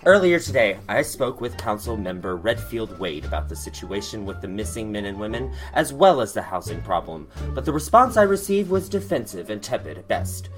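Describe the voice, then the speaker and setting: A man's voice: on the low side, big pitch swings, fairly quick, hardly any pauses, faint music behind. Man playing a flamboyant, swooping TV host. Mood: probing, polished. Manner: theatrical, effusive. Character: flamboyant, gushing gossipy TV commentary show host. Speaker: talk show host. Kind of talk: acted talk show hosting in audio drama.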